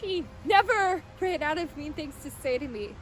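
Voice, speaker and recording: A woman's voice: high voice, expressive, moderate pace, soft background music. Young woman acting a bitter, haunted teenage girl. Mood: hurt. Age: teens. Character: bitter, world-weary bullied teenage girl, haunting and wistful. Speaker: youth theatre student actor. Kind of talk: staged one-act play dialogue and monologue.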